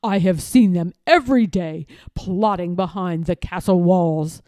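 A woman's voice: fairly low pitch, a little slow, dark tone, no room sound, clean studio sound, pitched below the performer's own voice, natural voice. A woman voicing a scheming, bullying lord of a royal castle in a children's story, with a harsh, commanding manner. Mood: false solemnity.

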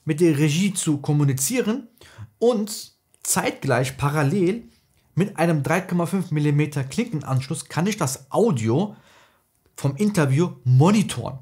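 A man's voice: a little high, expressive, moderate pace, darkish tone, slightly rough. A man in his thirties hosting a product review, explaining things in a casual, colloquial way. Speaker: tech reviewer, video host.

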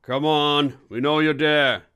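A man's voice: a little high, steady tone, slow, a bit bright, clear, resonant tone, voice pushed up. Man acting a stern, raised-voice police inspector. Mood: stern warning. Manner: stern, barking orders. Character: commanding, short-tempered male police inspector, dramatic and exasperated. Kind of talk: audiobook character voice.

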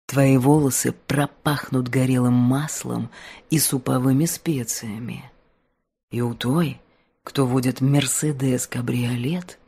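A woman voicing a mysterious wealthy temptress in an audiobook, speaking in a hushed, seductive, insinuating murmur. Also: rumbling low, gravelly, husky, pitched below the performer's own voice, full character voice. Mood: seductive, condescending.

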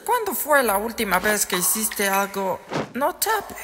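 A woman's voice: medium pitch, very animated, unhurried. A woman voicing a spiteful, sarcastic horseman Famine in a cartoon dub. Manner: sarcastic, taunting. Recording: noticeable background noise.

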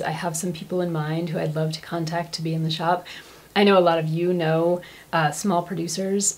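A woman's voice: lowish voice, a little mellow. An American woman in her thirties chatting enthusiastically to camera in a casual, gushing way, as a craft vlog host. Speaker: knitting vlog host and yarn shop owner. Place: small furnished room at home.

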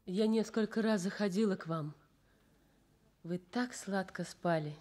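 A gentle young nurse played by a woman in a Soviet radio drama. Her voice sits at a medium pitch. She is soothing and caring, with a brisk, practical way of talking. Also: soft background music, lightly acted.

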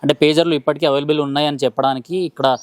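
A man's voice: fairly high pitch, steady tone, racing, dry recording. A man with a Telangana Telugu accent working as an interviewer, putting questions in a conversational way.

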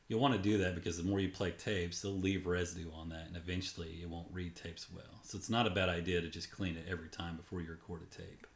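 A man presenting a casual, unscripted how-to as a voice-over, explaining step by step in an informal way. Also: low voice. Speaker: tutorial presenter. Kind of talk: casual screen-recorded how-to narration. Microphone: voice-over on a screen capture.

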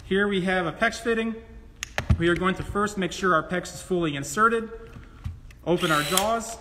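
A man's voice: sits high, moderate pace. A man in his thirties giving a step-by-step, instructional product demonstration. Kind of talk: live tool demonstration. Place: trade show booth in a large indoor hall. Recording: echoey hall, loud clicks and background noise.

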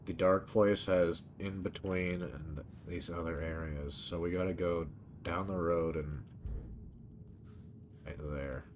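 A man's voice: low voice, steady tone, takes it slowly, a little hiss or hum. A man streaming a video game with casual, muttered commentary and frequent swearing. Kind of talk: casual let's play commentary. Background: video game ambience.

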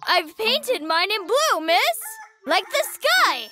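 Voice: extremely high pitch, sing-song, takes it slowly, pushed and bright, exaggerated voice. A performer voicing a curious, friendly little kindergarten boy in a children's cartoon, with an eager, caring manner. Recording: music behind the voice.

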